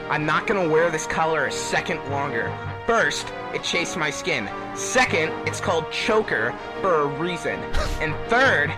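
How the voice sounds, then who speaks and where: A man's voice: fairly high pitch, expressive, unhurried, over loud music, voice pushed down. Man voicing a defiant, angry hedgehog hero. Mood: restrained anger. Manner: pissed but restrained.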